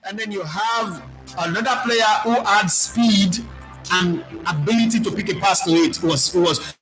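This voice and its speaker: A Nigerian man speaking as a football pundit, answering viewer questions in an animated, preachy way and mixing in pidgin. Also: sits high, normal speed, over music, poor audio. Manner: animated, preachy, lists players, mixes in pidgin.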